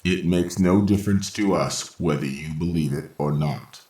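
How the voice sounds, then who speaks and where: Man voicing a very deep, cold robot. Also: normal speed, close to an everyday voice. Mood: cold, indifferent. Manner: flat, formal, indifferent. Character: cold, unfeeling robot in command of the underground war. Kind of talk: old-time radio sci-fi drama acting. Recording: echoey with faint noise.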